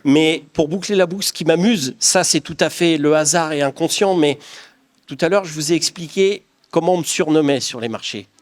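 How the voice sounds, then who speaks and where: A man's voice: fairly high pitch, normal speed. A middle-aged man from the Paris region, answering interview questions in an earnest, reflective way with frequent restarts. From Paris region of France. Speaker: craftsman guest.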